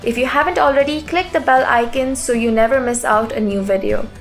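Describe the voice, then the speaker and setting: A woman's voice: fairly high pitch, brisk. Woman narrating a polished explainer. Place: voice-over over stock footage. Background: soft background music.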